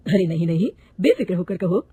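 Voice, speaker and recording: Woman doing a calm young husband. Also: fairly low pitch, a little fast, background noise, lightly acted. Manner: gentle, reasonable.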